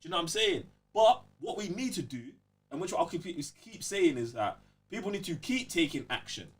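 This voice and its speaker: A young London man with a fairly high, husky voice makes his points emphatically on a casual podcast. His speech is slangy and full of little check-ins with the listener. He sits a little way back from the microphone. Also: big pitch swings, normal speed, recorded from a distance. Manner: emphatic, slangy, repeats check-in tag phrases. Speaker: podcast host.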